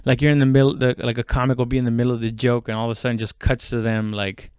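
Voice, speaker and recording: Male comic podcaster. Smooth, clean voice, dry close sound, informal halting solo ramble with loose swearing. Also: mid-range voice, level tone, darkish tone, dry recording. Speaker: stand-up comedian hosting own podcast. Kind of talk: casual rambling solo chat.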